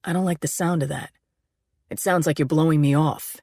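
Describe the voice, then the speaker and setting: A woman's voice: low-pitched, fairly even intonation, a little fast, dark tone, no echo, studio quality. A woman narrator voicing a possessive, volatile young man who talks in a sullen, accusing way. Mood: suspicious.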